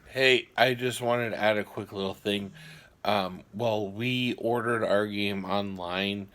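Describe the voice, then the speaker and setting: A man hosting a casual board game show, explaining the rules in a halting way with many ums and restarts. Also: fairly low pitch, steady tone, a bit bright, echoey room.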